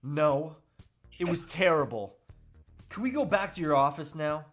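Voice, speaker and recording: A man's voice: fairly high pitch, unhurried, a bit bright, faint music behind. A man playing an anguished, reluctant family man in a comedic table read, earnest and a little whiny. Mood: grudging.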